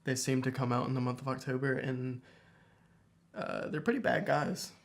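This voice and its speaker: A man co-hosting a casual podcast, joking in a deadpan way. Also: medium pitch, moderate pace, gravelly. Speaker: podcast co-host.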